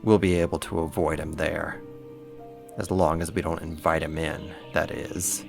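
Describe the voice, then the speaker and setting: A man playing a big possessive werewolf in a roleplay audio drama, gravelly, smug and flirtatious while taunting his rival. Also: extremely low pitch, flat delivery, normal speed, music in the background. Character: big cocky possessive male werewolf, smitten and boastful.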